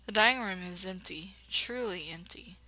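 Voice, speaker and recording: A woman's voice: mid-range voice, moderate pace, no room sound, low-quality recording. A woman reading a visual novel aloud, expressively, and breaking in with blunt, sassy commentary. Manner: expressive narration broken by blunt sassy asides. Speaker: let's player.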